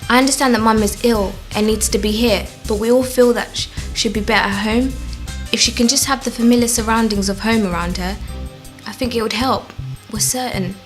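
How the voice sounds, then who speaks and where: A woman's voice: a little high, fairly even intonation, brisk, faint music behind, lightly acted. A woman playing a worried, earnest adult daughter in a training film, with a polite, tentative way of speaking. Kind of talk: acted training film scene.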